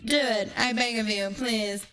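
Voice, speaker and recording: A woman with a fairly high voice speaks very slowly, stretching words out for dramatic, teasing effect. She addresses her stream like a mock-serious monologue, sometimes slipping into breathy whispers, with music playing behind her. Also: fairly even intonation, pushed and bright. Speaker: livestreamer. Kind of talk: theatrical tongue-in-cheek monologue to livestream viewers.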